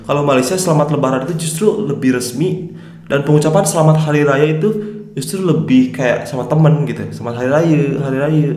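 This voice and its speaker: A man's voice: on the high side, a little fast, a little mellow, echoey room. Chatty young man with a stuffy nose. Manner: chatty, upbeat, says "guys" often. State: nasal from a cold. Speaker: reaction video host. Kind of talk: casual reaction commentary.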